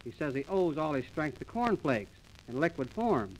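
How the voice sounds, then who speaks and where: A man's voice: a little high, sing-song, moderate pace, slight background noise, rough recording. A man announcing and trading patter in a 1930s radio comedy, with a mock-formal, wisecracking manner. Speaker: comedy duo host, announcer and straight-faced joker. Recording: early 1930s radio transcription disc.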